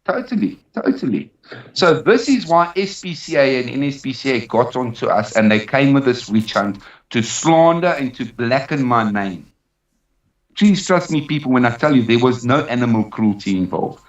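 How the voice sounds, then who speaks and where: A man's voice: medium pitch, big pitch swings, normal speed. A man with a South African accent speaking as an interview guest, giving an emphatic personal account and repeating phrases for weight. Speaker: interview guest, dog trainer. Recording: roomy, distant, dull sound.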